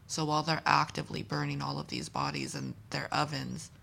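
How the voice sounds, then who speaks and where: Gravelly-voiced woman co-hosting. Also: deep voice, little pitch movement, a little fast, sharp tone, slight background noise. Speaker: co-host, host's wife. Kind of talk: casual chat.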